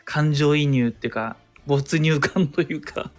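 A man's voice: fairly high pitch, measured pace. A man chatting casually as a guest on a live stream in a polite, humble way.

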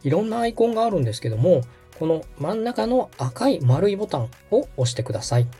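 A man's voice: high voice, sing-song, fast talker, mellow, husky, faint music behind. A man hosting a podcast as a polite instructor, explaining things step by step. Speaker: podcast host and radio director, giving instructions. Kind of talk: step-by-step app tutorial over background music.

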